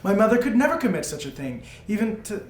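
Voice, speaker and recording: A man's voice: high voice, sing-song, fast talker, darkish tone. Man roleplaying in an echoey room. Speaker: tabletop game player. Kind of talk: in-character casual roleplay. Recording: roomy echo with background noise.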